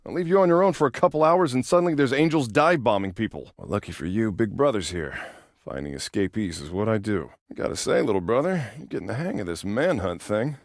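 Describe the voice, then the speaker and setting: An actor playing an exasperated, protective older-brother cop in a video game, with an American accent and a sarcastic, bantering manner. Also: male voice, lowish voice, expressive, fairly quick, no echo, studio quality. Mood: wry banter. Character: exasperated, protective big-brother cop, sardonic young man. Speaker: video game character, police officer. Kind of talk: naturalistic video game voice acting.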